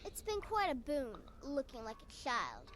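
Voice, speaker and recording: A woman's voice: high voice, huge pitch swings, normal speed, fairly bright tone, soft background music, exaggerated voice. An actress playing a centuries-old vampire in a girl's body who talks in a sly, knowing way. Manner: sly, knowing, mock-innocent. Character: ancient vampire woman in a little girl's body. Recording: game audio with background noise.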